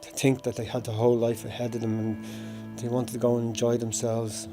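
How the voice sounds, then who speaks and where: A man in his sixties with a Dublin Irish accent gives a personal memorial tribute in a measured, reminiscing way. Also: mid-range voice, monotone, over music. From Dublin, Ireland. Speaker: bereaved brother giving a tribute.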